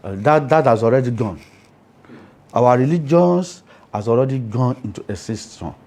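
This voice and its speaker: A man's voice: medium pitch, animated, moderate pace, mellow. A man with a Nigerian Yoruba accent, speaking as an interviewed priest in an emphatic, explanatory way, often checking that he is understood. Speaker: Ifa priest, interview guest.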